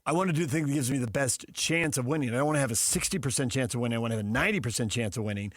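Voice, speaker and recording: A man's voice: mid-range voice, racing, no room sound, studio quality. A man co-hosting a sports radio show, trading arguments in playful, unscripted banter. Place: radio studio.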